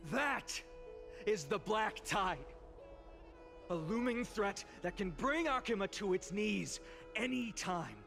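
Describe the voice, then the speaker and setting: An earnest young hero, high and slow. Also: male voice, expressive, vocal fry, full character voice. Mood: solemn. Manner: solemn, measured speechmaking. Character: earnest young male hero, grieving orator. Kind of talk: video game dialogue. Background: game music.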